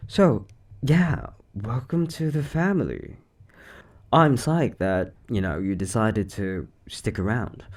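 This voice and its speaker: A man playing an upbeat, quirky boss of a mysterious shop, chatting casually in an animated, hesitant way in a roleplay audio. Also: fairly low pitch, slow. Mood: excited, friendly.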